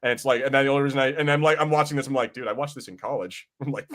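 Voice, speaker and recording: A man hosting a casual pop-culture podcast, chatting in an animated way and arguing his point with casual swearing. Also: medium pitch, rapid-fire. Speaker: podcast host.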